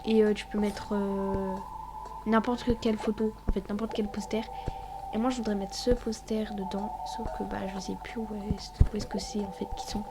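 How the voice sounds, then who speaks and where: A woman's voice: medium pitch, flat delivery, normal speed, heavy background noise. A woman with a cold, sniffling as she chats casually over her gameplay, rambling and often dropping to a whisper. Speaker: gaming vlogger.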